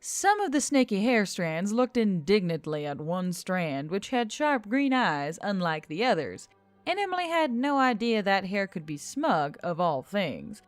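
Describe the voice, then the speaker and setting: Animated woman narrating fiction, music behind. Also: medium pitch, a little slow, dry recording. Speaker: audiobook narrator. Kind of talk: scripted fiction narration with character voices.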